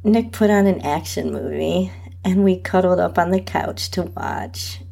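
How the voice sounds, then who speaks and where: Female narrator for erotic audio fiction: lower register, husky, slow delivery. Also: mellow. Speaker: podcast host and narrator. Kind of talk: first-person erotic audio story narration.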